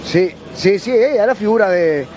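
A man hosting a casual live sports chat, excitable and worked up. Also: high-pitched, normal speed, soft background music. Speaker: panel host. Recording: muffled stream audio, faint noise.

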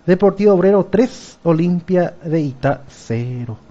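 A man's voice: sits high, expressive, a little slow, mellow, muffled recording. A man with a Paraguayan accent presenting sports results on radio, mixing Guarani into his Spanish. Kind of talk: radio news chat.

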